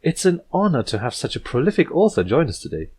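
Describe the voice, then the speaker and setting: A man's voice: fairly high pitch, big pitch swings, fast, a little mellow, husky, pitched above the performer's own voice. A man voicing a giddy young female pony princess, vivacious and eagerly polite, for a story reading. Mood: bright, polite.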